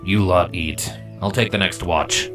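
A man's voice: low voice, over music. A man voicing a brooding, firm male changeling squad leader in a fantasy story. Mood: stern, brooding. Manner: firm, terse, deliberate.

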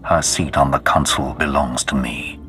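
An actor playing a menacing, pompous Sith lord in a video game, commanding and deliberate. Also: male voice, rumbling low, fairly even intonation, bright, forceful tone, soft background music. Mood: imperious. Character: menacing, imperious male Sith lord seeking to rule. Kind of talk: dramatic video game voice acting.

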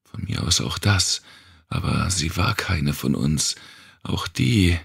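A man doing a fiercely protective young woman, raised in pitch from a very deep, rough voice. She pleads in an urgent hush, torn and desperate, in a dry audiobook reading. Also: animated, slow, no room sound, exaggerated voice.

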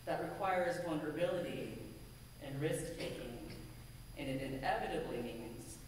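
A woman minister giving a measured, earnest sermon from a pulpit in a church sanctuary, with a pulpit microphone and a distant camera. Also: deep voice, expressive, a little mellow, a little hiss or hum. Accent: American. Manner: measured, deliberate, earnest preaching with emphatic lists. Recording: echoey sanctuary from far off.

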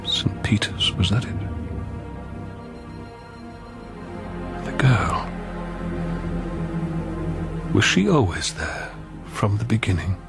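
Troubled gentle priest, lowish voice, broody and intense, radio play w music under it. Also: male voice, very animated, gravelly, heavily acted. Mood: brooding, anguished. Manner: brooding, halting, intense, repeating phrases. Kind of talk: radio drama acting, interior monologue and dialogue.

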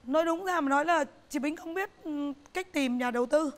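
A woman's voice: high voice, slight background noise. Earnest middle-aged woman, studio interview. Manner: earnest, repeats phrases, halting restarts. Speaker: business owner, guest. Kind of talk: TV talk show interview. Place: TV studio set, indoors.

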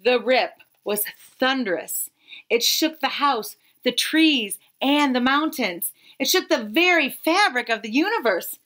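A woman in her thirties reading a children's picture book aloud expressively, with dramatic pauses and emphasis. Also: high-pitched, lively intonation, a bit bright. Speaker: read-aloud storyteller.

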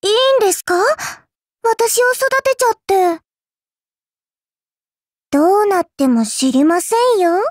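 A dainty little game-character girl speaks in an extremely high, cutesy voice. Her words are prim and polite, yet laced with teasing condescension and a cruel streak. The sound is close and dry. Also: big pitch swings, measured pace, long pauses, dry recording, full character voice. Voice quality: childlike, cutesy. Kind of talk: Japanese mobile game character voice lines.

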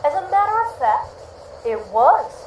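A pompous rich husband. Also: female voice, sits high, sing-song, moderate pace, slight background noise, rough recording. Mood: peevish. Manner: pompous, grandiose. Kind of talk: radio play acting.

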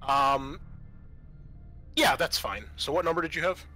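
A man narrating a tabletop roleplaying game as game master, explaining things in a halting, improvised way. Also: on the high side, lively intonation, bright, forceful tone, soft background music.

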